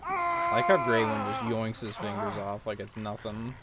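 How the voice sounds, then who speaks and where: A man's voice: on the low side, sing-song, moderate pace, very noisy. A young man giving casual, jokey commentary on a game playthrough, often mimicking lines. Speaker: gaming streamer. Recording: loud music behind the voice.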